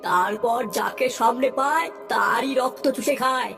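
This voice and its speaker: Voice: mid-range voice, unhurried, gravelly, music in the background. A performer voicing a boastful, touchy village holy man with theatrical delivery in a comic cartoon dub. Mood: ominous. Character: gruff, boastful holy man chanting curses and prophecies.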